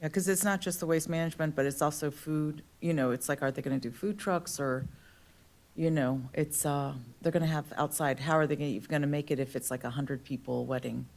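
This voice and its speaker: A woman's voice: low voice, steady tone, brisk, a little mellow. A woman on a county board asking questions at a hearing, rambling and thinking aloud with many fillers. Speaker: board member. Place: county board meeting room.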